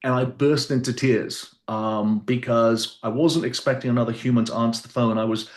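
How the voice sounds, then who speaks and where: A man's voice: mid-range voice, fairly even intonation, moderate pace. Guy guest telling his story, earnest and rambling, sounds kinda roomy. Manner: earnest, rambling, with fillers and restarts. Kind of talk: personal storytelling in an interview.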